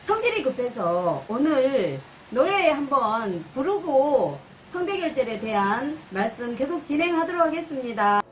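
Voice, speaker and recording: A woman's voice: high voice, big pitch swings, unhurried, few pauses, muffled recording, slight background noise. A woman channel host giving an explanatory personal talk in an interview-style format.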